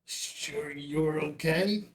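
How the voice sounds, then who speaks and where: A man acting in a table read as an older, gentle rancher and adoptive father, halting and sincere, with a gravelly voice. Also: fairly high pitch, poor audio. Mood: warm reminiscing.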